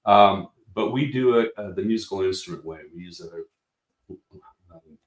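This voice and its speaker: A man's voice: deep voice, normal speed, roomy sound, rough recording. A man representing a product company, explaining speaker design in a casual technical walkthrough with many fillers and restarts.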